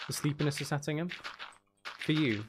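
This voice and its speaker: A man's voice: a little high, fairly quick. A man casually chatting on a live game stream, musing aloud and talking to viewers as he plays. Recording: faint background noise.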